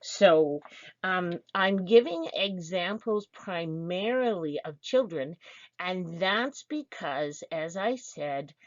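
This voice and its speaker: Low-voiced woman instructor, dull lo-fi sound. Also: no echo. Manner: explanatory, with fillers and restarts. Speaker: program instructor. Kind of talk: online information session presentation.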